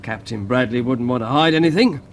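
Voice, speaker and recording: An actor playing a brisk, dutiful chief engineer aboard a spaceship in a 1950s British radio drama. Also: male voice, mid-range voice, natural voice. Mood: puzzled. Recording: noisy vintage 1950s radio recording.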